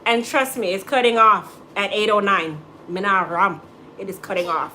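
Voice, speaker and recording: A woman's voice: medium pitch, fairly even intonation, normal speed, pushed and bright, a little hiss or hum, poor audio. A middle-aged American woman, a hairstylist, chatting casually with live-stream viewers, joking and teasing as she answers. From United States. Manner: joking, teasing, laughs often.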